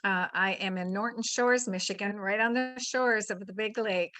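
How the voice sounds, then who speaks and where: A woman's voice: mid-range voice, normal speed, a bit bright. A woman of seventy-two chatting casually about her own experiences in an interview. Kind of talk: casual personal conversation.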